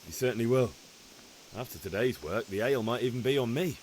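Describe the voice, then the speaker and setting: A man's voice: medium pitch, expressive, fast, a little mellow, slight background noise, close to an everyday voice, rough recording. A man playing a cheeky, eager young blacksmith's son in a medieval video game. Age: young adult. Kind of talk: video game cutscene acting.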